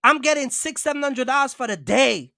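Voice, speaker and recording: Casting: male vlogger, high voice, dry recording, casual streetwise storyteller, emphatic and repetitive. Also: a little fast, creaky, bright, forceful tone, no room sound. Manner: emphatic, repetitive, addresses listeners directly. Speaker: box truck owner-operator and vlogger.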